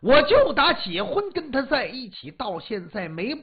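A drunk husband pouring out grievances in a whiny, stammering voice, as a storyteller puts him on. The tone is husky and lurches up and down in pitch. The sound is dull and lo-fi. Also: wildly swooping pitch, unhurried, exaggerated voice. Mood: aggrieved whining. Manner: whining complaint, stammering. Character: drunk aggrieved husband pleading his case.

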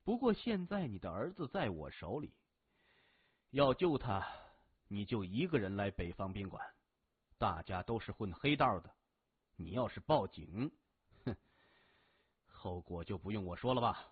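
A man acts out a young male crime boss for an audiobook, his voice low and hushed. He speaks in a measured, menacing way with a quiet sneer, through thin phone-like audio. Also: expressive, slightly rough, no echo. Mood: sneering menace. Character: cold, mocking young male gang boss.

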